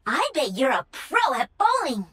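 A high, bright and very lively young woman, cocky and playful like a star gamer in a video game. She teases and brags with cheek. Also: moderate pace, exaggerated voice. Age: young adult. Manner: cheeky, bragging, teasing.

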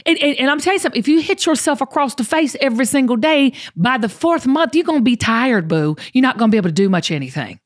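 A woman's voice: high voice, expressive, quick pace, few pauses. A woman with a Southern US accent hosting a motivational talk podcast, emphatic and folksy, repeating phrases to drive them home. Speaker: show host.

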